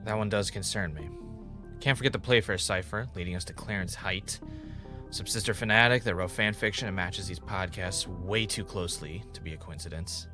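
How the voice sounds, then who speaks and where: Bright-voiced, low-pitched wry male podcaster. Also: normal speed, faint music behind. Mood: brisk, concerned. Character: male ex reality-show contestant turned investigative podcaster, wry, dramatic. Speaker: podcast host. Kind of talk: naturalistic audio drama acting, conversational narration.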